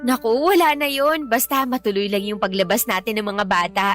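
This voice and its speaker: Woman acting tired pregnant wife in a radio drama, plaintive n sulky, highish voice, bit of music under. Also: fairly even intonation, fairly quick, a bit bright, natural voice. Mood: hopeful, appeased. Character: pregnant, weary, self-pitying wife and mother, easily sulky.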